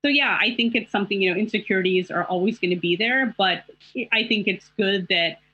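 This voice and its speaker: A woman's voice: mid-range voice, brisk, sharp tone, no room sound. A middle-aged woman journalist speaking in a panel discussion, talking in a reflective, candid way with many fillers. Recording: dull lo-fi call audio.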